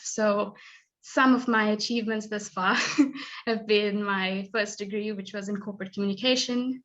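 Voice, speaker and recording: A young woman gives an earnest prepared talk, with soft laughs and the odd filler. Her voice sits in the middle of her range. The audio is somewhat dull and lo-fi, like a video call. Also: mid-range voice. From born in Zimbabwe, raised in South Africa. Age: 20s. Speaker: guest speaker, graduate student.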